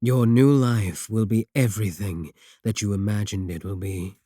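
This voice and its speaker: Casting: male actor for manipulative warlock villain in romantic audio roleplay, lowish dark voice, teasing and possessive. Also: steady tone, no echo, clean studio sound, natural voice. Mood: possessive, pleased. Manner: slow, teasing, deliberate, with drawn-out phrasing.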